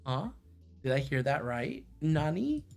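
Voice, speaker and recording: A man's voice: on the high side, big pitch swings, unhurried, vocal fry, a little mellow. A man livestreaming as he reads a visual novel aloud in a narrating style. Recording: music behind the voice. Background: background music.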